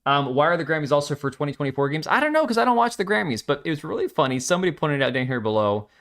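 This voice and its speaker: A middle-aged man hosting a live stream, chatting casually and reacting to chat with quick jokey asides. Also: fairly high pitch, sing-song, a bit bright. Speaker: livestream host.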